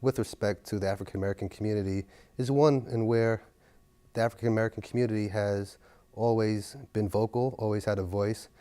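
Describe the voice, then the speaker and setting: Earnest low-voiced council member. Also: male voice, steady tone, fairly quick, warm tone, clear, resonant tone. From New York. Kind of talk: interview reflection.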